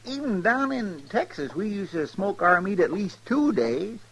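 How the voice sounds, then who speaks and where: Folksy western sidekick, Southwestern American drawl, fussy, highish voice, faint noise, old radio drama. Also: male voice, sing-song, measured pace, a bit bright, exaggerated voice. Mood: grumbling, chatty. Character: folksy, grumbling, good-natured western sidekick. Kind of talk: mid-century American radio western acting.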